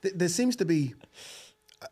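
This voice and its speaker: Male podcast host, 30s, slightly husky, animated studio talk. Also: sits high, moderate pace. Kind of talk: casual boxing chat. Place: studio set with dark curtains. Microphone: desk mic on boom arm.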